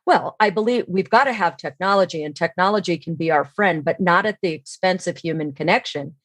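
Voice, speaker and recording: A woman's voice: lowish voice, fairly quick, a bit bright, no room sound. A middle-aged woman explaining her views as an expert podcast guest, in an assured, emphatic way.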